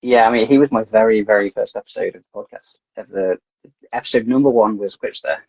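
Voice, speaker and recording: A man's voice: on the low side, quick pace, a little mellow, slightly rough, dry recording. A man guest on a podcast, chatting casually and rambling, often repeating words as he gets his thoughts out. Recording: dull lo-fi dry sound.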